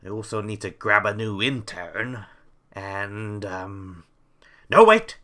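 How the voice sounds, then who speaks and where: A man's voice: lowish voice, animated, slow pace, bright, forceful tone, heavily acted. A man playing a flippant, callous mad scientist boss in a comedy audio drama, melodramatic and theatrical. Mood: excited.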